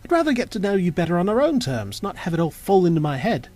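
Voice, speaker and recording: A man's voice: high voice, big pitch swings, fast talker, a little mellow, voice pushed up. Man acting a flustered, earnest young runaway time lord. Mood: gently earnest. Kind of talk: audio drama character dialogue. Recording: music behind the voice.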